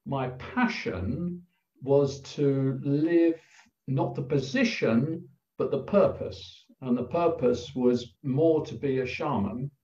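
An older man with an English accent, a guest telling a personal story over a video call in a halting, reflective way with many pauses. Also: medium pitch, darkish tone. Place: plain room indoors. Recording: roomy, distant, dull video call audio.

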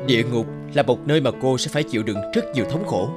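An actor playing a stern, formal guardian of heaven's gate in an animated drama. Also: male voice, medium pitch, fast talker, over loud music, lightly acted. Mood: solemn.

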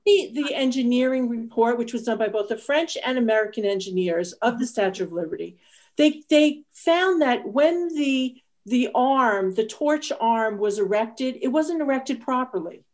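A woman's voice: on the high side, expressive, normal speed, darkish tone. A woman explaining history emphatically in a panel discussion, speaking indoors on a video call.

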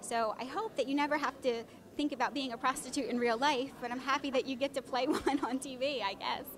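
High-voiced woman interviewer in a crowded place. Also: fairly even intonation, fairly quick. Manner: encouraging, informal. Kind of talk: casual on-camera interview. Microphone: handheld interview microphone. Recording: busy chatter in the background.

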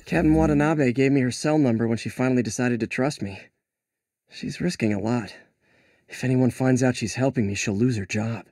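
An actor playing an eager young American superhero and lab assistant in a video game, speaking casually and earnestly. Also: male voice, medium pitch, brisk, a little mellow, close to an everyday voice. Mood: musing. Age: young adult.

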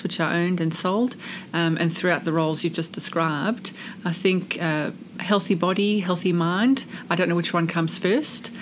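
A South African woman with a soft English-speaking South African accent talks through her career in a relaxed interview. Her voice sits on the low side, and a steady hiss of noise runs under the recording. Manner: warm, earnest, expansive storytelling. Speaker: charity CEO, guest. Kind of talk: casual interview chat.

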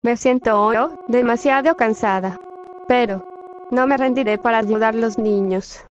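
A woman's voice: fairly high pitch, unhurried, close to an everyday voice. A synthetic text-to-speech woman's voice playing a weary, protective church nun in a fan-dubbed cartoon game. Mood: pleading, determined. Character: weary, protective church nun fighting evil spirits, kind to children. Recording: muffled lo-fi audio over music. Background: game music.

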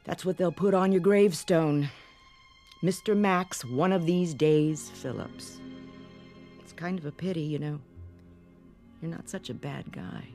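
A blunt, world-weary landlady of middle age in a radio play. She speaks in short, sardonic jabs that hide a soft spot. Music sits faintly behind her. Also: sits low, unhurried, long pauses. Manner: dry, terse, sardonic. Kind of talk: radio drama acting.

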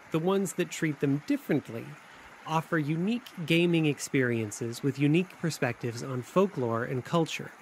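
A man hosting a podcast, reading a scripted introduction to an interview. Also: fairly high pitch. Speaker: podcast host. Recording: noisy, recorded running water ambience behind. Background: running water ambience.

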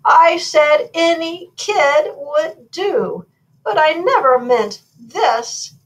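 A woman's voice: a little high, big pitch swings, takes it slowly, voice pushed up, low-quality recording. A woman voicing a mild, hapless king in a storybook read-aloud, earnest and slightly pompous. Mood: dismayed.

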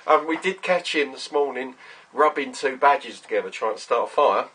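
A middle-aged man with a husky voice, chatting casually and rambling in a workshop vlog, often sweary. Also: medium pitch, animated, moderate pace, a bit bright, poor audio. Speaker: vlogger, workshop owner. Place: small cluttered workshop, indoors.

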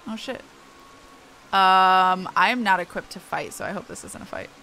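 A woman's voice: medium pitch, normal speed, pushed and bright, slight background noise, rough recording. A woman game streamer giving casual, informal commentary in muttered asides.

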